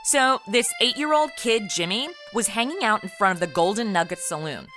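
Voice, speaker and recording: Animated, sarcastic woman storyteller. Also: mid-range voice, moderate pace, faint music behind. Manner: sarcastic, cheeky. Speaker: comedy podcast host and narrator. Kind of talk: conversational comedic narration and acting.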